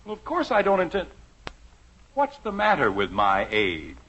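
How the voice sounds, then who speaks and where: A man's voice: a little high, wildly swooping pitch, normal speed, fairly bright tone, full character voice. An American actor playing a vain, good-natured middle-aged suburban father in a theatrical, blustering 1950s radio sitcom style. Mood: indignant, defensive. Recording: muffled, noisy old radio recording.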